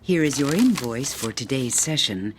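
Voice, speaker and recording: An actress playing an oblivious receptionist with relentless sing-song customer-service politeness in a radio drama. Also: female voice, extremely low pitch, lively intonation, normal speed, a little hiss or hum. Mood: blandly cheerful. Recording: music and keyboard typing behind.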